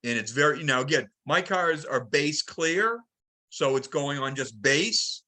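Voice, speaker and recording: Male call host, very bright, forward voice, casual and halting, chairs a group discussion. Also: a little high, lively intonation. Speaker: host of a club tech conference call.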